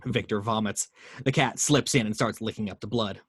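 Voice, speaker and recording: A man's voice: lowish voice, normal speed, a bit bright, breathy edge, dry recording. A man narrating the action lines of a screenplay at a home table read, reading steadily with small stumbles on a close microphone.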